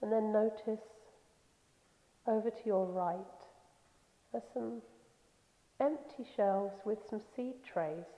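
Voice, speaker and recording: A woman leading a guided meditation in a soothing, gentle, unhurried manner with pauses. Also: mid-range voice. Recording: echoey long reverb, slightly dull sound.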